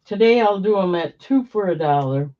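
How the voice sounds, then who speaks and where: A hoarse woman running a live-stream auction, chatty and unhurried, pausing to cough. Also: mid-range voice, animated. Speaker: online auctioneer. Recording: dull lo-fi live-stream audio.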